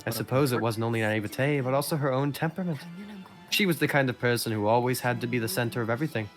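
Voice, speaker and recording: A man's voice: mid-range voice, fast talker, faint music behind, lightly acted. A man voicing a bitter, suffering old woman servant, telling her story solemnly in an amateur game dub. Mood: solemn, wistful.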